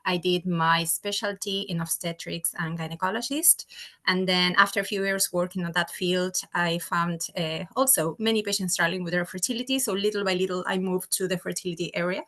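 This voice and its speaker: A middle-aged woman speaks English with an Argentine Spanish accent, as a native Spanish speaker. Her voice sits a little low as she talks informally as a guest doctor on a webinar. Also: fairly even intonation, fairly quick, a bit bright. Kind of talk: informal self-introduction on a webinar.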